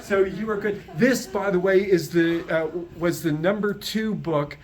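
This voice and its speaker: Older guy emceeing a little show, kinda high voice, folksy and jokey with the crowd. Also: measured pace. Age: 60s or older. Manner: folksy, joking, talks with the audience. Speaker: master of ceremonies introducing a show. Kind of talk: informal humorous stage introduction to a live audience. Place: on stage at a podium before a small live audience.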